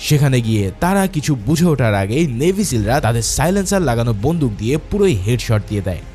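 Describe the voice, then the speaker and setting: A man's voice: mid-range voice, sing-song, quick pace, darkish tone. A man narrating a movie recap from a script in a casual, dramatic storytelling way, addressing viewers informally. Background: background music.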